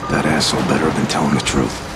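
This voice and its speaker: A man's voice: extremely low pitch, steady tone, rapid-fire, big-room sound, very noisy. A man voicing a brooding, tough mob boss with a New York accent in a video game, terse and streetwise. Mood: wistful, reflective. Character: brooding tough male mob boss, haunted by lost love. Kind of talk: video game dialogue and monologue.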